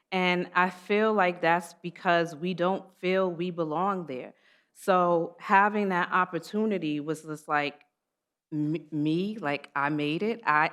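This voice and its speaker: A woman's voice: fairly low pitch, fairly bright tone, clean tone. A woman from Queens, answering on a panel in an earnest, conversational way, often saying "like". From Queens, New York. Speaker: panelist, program alumna.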